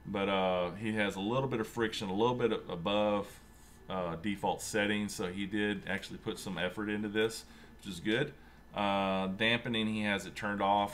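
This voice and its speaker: Man, 30s, lowish voice, laid-back monotone, home setup, faint background hiss. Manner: relaxed, chatty, many fillers, self-described monotone. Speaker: sim racing channel host. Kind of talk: casual screen-share tutorial. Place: home room with a racing rig.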